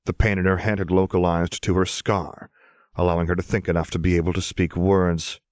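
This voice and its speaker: Deep-voiced man narrating an audiobook. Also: little pitch movement, a little fast, no echo, studio quality. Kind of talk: scripted story narration.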